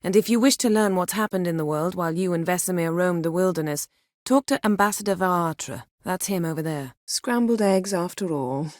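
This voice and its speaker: A haughty sorceress from a fantasy game, played by a woman, speaks in a lowish voice. Her diction is formal and her manner imperious, with a dry, cutting wit. The sound is close and free of room echo. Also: brisk, close to an everyday voice. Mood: composed, commanding. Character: haughty, sharp-tongued, commanding sorceress in a fantasy world. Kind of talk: fantasy video game voice acting.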